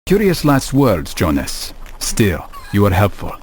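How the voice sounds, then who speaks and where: An actor playing a calm, resolute medieval assassin in a video game, speaking in a measured, terse and dry way. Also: male voice, fairly low pitch, slight background noise. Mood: dry, composed. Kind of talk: scripted video game voice acting.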